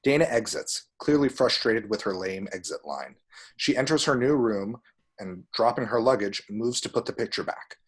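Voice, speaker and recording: A man reading screenplay action descriptions aloud at a table read, with a husky voice. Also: fairly low pitch, expressive, normal speed.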